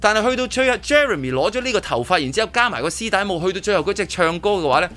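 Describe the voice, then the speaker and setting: A male radio host with a Hong Kong Cantonese accent chats in a bright, forceful voice, a little high for a man. His delivery swings widely in pitch. He often repeats himself and restarts phrases. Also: expressive, racing. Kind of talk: casual radio chat, reviewing a live show. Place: radio studio.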